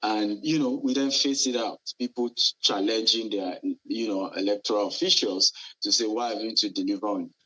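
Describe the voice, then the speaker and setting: Nigerian man, lower voice, bright and raspy, earnest TV guest who restarts a lot. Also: normal speed, few pauses, low-quality recording. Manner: earnest, animated, frequent restarts and fillers. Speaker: entrepreneur guest. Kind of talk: live TV interview, remote. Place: Dubai.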